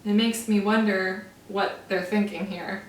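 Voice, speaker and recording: A woman teacher reading a storybook aloud to young children, with slow, expressive, drawn-out emphasis. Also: medium pitch. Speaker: first-grade teacher. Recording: roomy echo, from far off.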